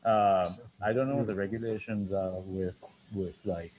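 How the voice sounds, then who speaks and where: A man's voice: fairly low pitch, steady tone, normal speed, darkish tone, slight background noise, rough recording. A man in his thirties working as a bartender, casually explaining a cocktail recipe as he mixes it, often repeating words. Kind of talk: cocktail demonstration. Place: dim bar set, indoors.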